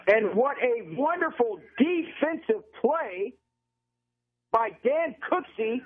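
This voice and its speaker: An American man with a husky voice doing live football play-by-play as a radio announcer, over a narrow, tinny phone-line feed. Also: extremely high pitch. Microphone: live radio broadcast feed from stadium.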